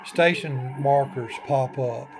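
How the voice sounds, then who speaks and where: Man, mid-pitched, folksy, plain-spoken, informal tutorial host, short phrases, step-by-step demonstration. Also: takes it slowly. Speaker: ham radio tutorial host.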